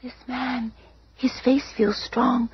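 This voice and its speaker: An actress playing a gentle, trusting blind young stepdaughter in a radio drama, soft and full of innocent wonder. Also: female voice, a little high, flat delivery, slow pace. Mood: wondering, subdued. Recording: noisy, muffled old radio broadcast.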